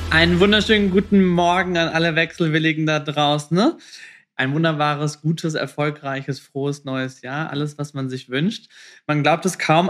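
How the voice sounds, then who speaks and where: A man's voice: fairly high pitch, fairly bright tone. A man hosting a chatty podcast interview, talking informally and addressing his listeners. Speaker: podcast host.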